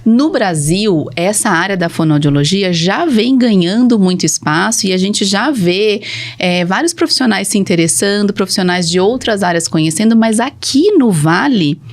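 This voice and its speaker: A woman's voice: mid-range voice, expressive, moderate pace. Brazilian female health professional as podcast guest, mid-range voice, instructive conversational explainer. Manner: didactic, poses questions then answers them. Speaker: speech therapist, podcast guest.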